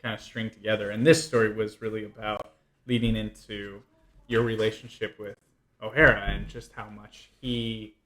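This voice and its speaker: A younger adult man co-hosting a casual conversation, talking in a halting way and often rephrasing as he goes. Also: on the low side, level tone, fairly bright tone. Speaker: podcast co-host.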